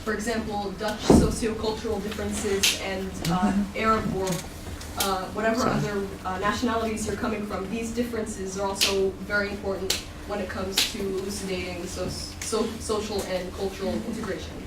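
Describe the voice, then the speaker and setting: A woman with a medium-pitched voice interprets for a group at a brisk pace. The recording is distant and echoey, with loud noise around her. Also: flat delivery. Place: echoey room at a group event.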